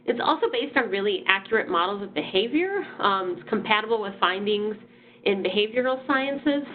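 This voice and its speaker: A woman's voice: mid-range voice, animated, moderate pace, a little hiss or hum. A woman hosting and presenting a webinar, a bit nasal from a sinus infection, talking in a friendly way with many ums and restarts. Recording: phone-like audio.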